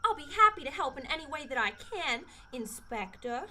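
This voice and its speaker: A very high, bright woman's performance of a gushing young lady in a radio mystery. She is melodramatic and swings widely in pitch. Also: big pitch swings, fairly quick, heavily acted. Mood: anxious. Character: gushing, theatrical young nurse, secretly a murderess. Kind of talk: old-time radio murder mystery acting.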